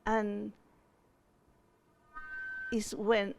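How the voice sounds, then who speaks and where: A woman's voice: mid-range voice, completely flat delivery, drawn-out words, creaky, slight background noise. A woman from Chile, a guest artist who answers audience questions at a museum talk and often restarts as she searches for words. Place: museum event space.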